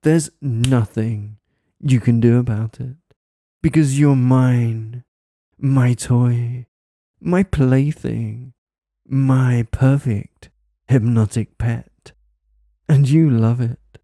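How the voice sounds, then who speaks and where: A man delivers a commanding hypnosis script at a very slow, deliberate pace. His voice is deeply dark and mellow, sitting at an ordinary pitch, and the recording is dry and close with no room sound. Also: steady tone, no echo. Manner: dominant, commanding, repetitive. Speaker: hypnosis audio creator.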